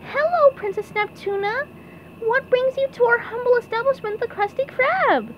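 A woman acts out a naive, excitable male sponge from a cartoon storybook. The voice is squeaky and very high, bouncing up and down with eagerness. The recording is a little dull, with some noise. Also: moderate pace. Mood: eager, polite. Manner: excitable, pleading, sputtering when flustered.

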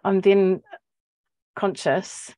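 A woman in her forties with a New Zealand accent, talking reflectively and haltingly as a poet on a panel, searching for words. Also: mid-range voice, warm tone, no echo. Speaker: poet and academic. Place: book-lined office, indoors. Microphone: earphone mic on video call.

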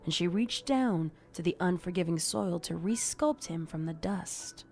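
A woman narrating a scripted horror story in a measured, dramatic storytelling manner. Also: on the low side, lively intonation, a little mellow, soft background music.

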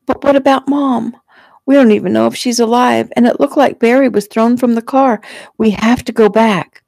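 A woman narrator plays a panicked young woman in an audiobook. The voice is high and urgent, crying out in distress over a dry, close recording. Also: fairly even intonation, moderate pace, gravelly, darkish tone, dry recording. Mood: distraught. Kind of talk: audiobook character acting.

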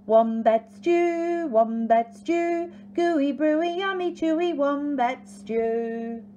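A woman's voice: a little high, very animated, slow, muffled recording, over loud music. A woman voicing a boastful, gleeful male dingo in a storybook, theatrical and sing-song.

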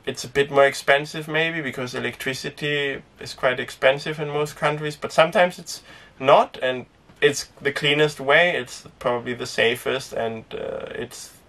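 A young man who grew up speaking Danish, chatting casually to camera inside a small sailboat, relaxed and rambling with lots of fillers. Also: medium pitch, moderate pace, few pauses, recorded from a distance. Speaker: sailing vlogger. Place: inside a small sailboat cabin and cockpit tent.

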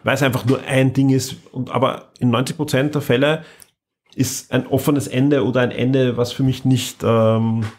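A man's voice: mid-range voice, steady tone, moderate pace, darkish tone. A man hosting a podcast, chatting casually and enthusiastically with many restarts. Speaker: podcast host. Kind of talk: casual chat.

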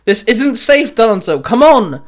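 A man's voice: high voice, lively intonation, fairly quick, fairly bright tone, pitched above the performer's own voice. A man voicing an earnest, headstrong young male space ranger in an audio story, speaking with urgent emphasis. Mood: pleading.

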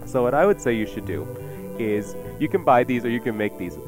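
A man's voice: mid-range voice, expressive, a little fast, poor audio. Casting: man as radio cooking host, chatty and playful while explaining, music underneath. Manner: jokey, explanatory. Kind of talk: casual cooking chat. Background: music playing behind the voice.

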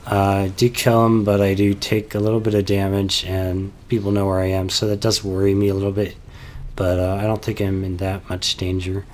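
A young man talks calmly over gameplay footage, walking through his tactics with plenty of "uh" fillers. His voice is low and fairly flat, and it sounds a little stuffy because he is getting over a cold. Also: a little fast, a little hiss or hum. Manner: calm, explanatory, many "uh" fillers. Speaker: gameplay commentator. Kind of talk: voice-over commentary on game footage.

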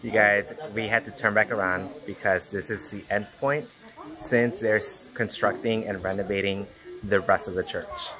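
A man's voice: on the low side, fairly even intonation, normal speed, music in the background. A man in his thirties talking earnestly and with enthusiasm as a travel vlogger, filmed at arm's length on a road trip. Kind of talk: casual travel vlog. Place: outdoors at a church and in a car. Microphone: handheld camera at arm's length.

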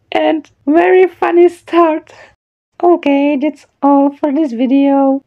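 A woman with hosting a casual vlog, slowly and hesitantly sounding out foreign words, on a dull lo-fi mic. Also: high voice. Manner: slow, careful, hesitant pronunciation of foreign words. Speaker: channel host. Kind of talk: casual vlog reading word list.

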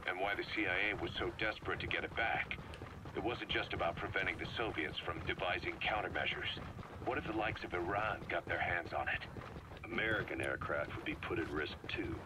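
An actor playing a gruff military commander in a video game, giving a measured, serious briefing. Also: male voice, low-pitched, noisy background, lightly acted. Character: gruff male military commander briefing over cassette tape. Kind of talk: scripted video game dialogue.

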